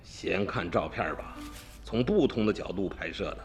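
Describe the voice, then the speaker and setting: A man's voice: low-pitched, lively intonation, moderate pace, soft background music. An actor playing a sly, confident male tomb-raider gang boss haggling over a stolen relic in a radio drama. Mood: scheming.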